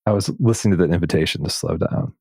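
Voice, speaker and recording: A man's voice: deep voice, fast, vocal fry, mellow, no echo, studio quality. A man hosting a reflective conversational interview in an earnest, affirming manner. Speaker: podcast host.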